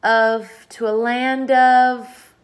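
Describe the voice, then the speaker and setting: A woman reading aloud as a kind, gentle female ladybug in a storybook. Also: fairly high pitch, flat delivery, extremely slow, smooth, voice pushed up.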